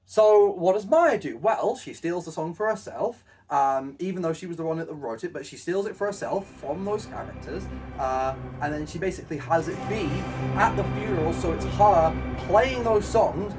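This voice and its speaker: A man's voice: high voice, expressive, moderate pace, few pauses, a little mellow, far from the mic, faint music behind. A man co-hosting a casual chat, rambling and self-deprecating, with a lot of stumbling restarts. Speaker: co-host.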